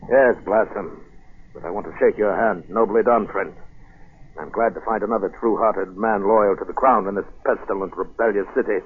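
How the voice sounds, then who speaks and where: Casting: sly, florid colonial loyalist gent, low husky voice, muffled old radio play recording. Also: male voice, moderate pace. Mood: indignant, hearty. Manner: florid, mocking, conspiratorial. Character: pompous, sly colonial loyalist gentleman, a man. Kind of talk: 1940s radio drama acting. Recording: muffled narrow-band 1940s radio broadcast.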